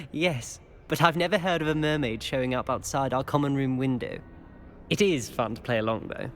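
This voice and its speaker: A man playing a polite, measured teenage wizard with dry amusement in a video game. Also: medium pitch, fairly bright tone, slight background noise, natural voice. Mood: amused, friendly. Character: wry, courteous teenage schoolboy wizard from old family. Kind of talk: scripted video game dialogue.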